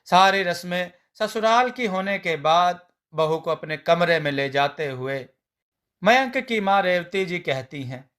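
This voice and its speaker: Slow-paced, higher-pitched male storyteller. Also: animated, a bit bright. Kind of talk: scripted narration of a Hindi family story.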